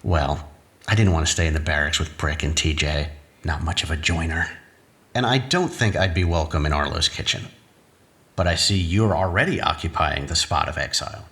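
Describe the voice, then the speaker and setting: Deep, silky, scheming villain. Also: male voice, normal speed, a bit bright, slightly rough, dry recording, full character voice. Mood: courteous, mocking. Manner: urbane, mocking, drawling formality. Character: sly, smug villainous brother and doctor, theatrical schemer. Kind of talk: audio drama acting.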